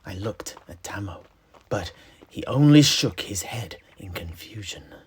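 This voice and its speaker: A man narrating a dark fantasy tale as a storyteller, with hushed, suspenseful and deliberate phrasing. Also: on the low side, lively intonation. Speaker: host, in-character storyteller. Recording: clear background noise.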